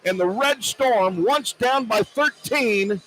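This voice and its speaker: A man's voice: sits high, takes it slowly, bright, forceful tone, rough recording. A man calling live basketball play-by-play on the radio, narrating in clipped running phrases and stretching words on big plays. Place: indoor basketball arena. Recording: arena noise behind a live broadcast. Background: arena ambience.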